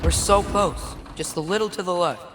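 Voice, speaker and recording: An eager, curious teenage boy adventurer from a video game, acted by a man, with a high voice and a chatty manner. Loud clicking and rumbling noise runs behind him. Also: moderate pace, close to an everyday voice. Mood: coaxing, focused. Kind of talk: video game cutscene acting.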